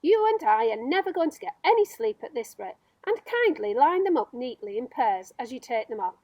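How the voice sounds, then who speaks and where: A woman voicing a bossy, impatient male centipede in a children's storybook, with loud, emphatic demands. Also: fairly high pitch, very animated, brisk, dry recording.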